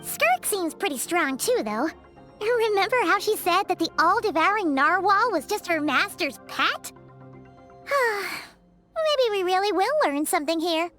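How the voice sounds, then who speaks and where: A woman voicing a small, chirpy, childlike female fairy sidekick in a video game, cheeky, eager and excitable. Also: unhurried, heavily acted. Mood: musing. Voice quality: squeaky, childlike. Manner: refers to self in third person, excitable. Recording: music behind the voice.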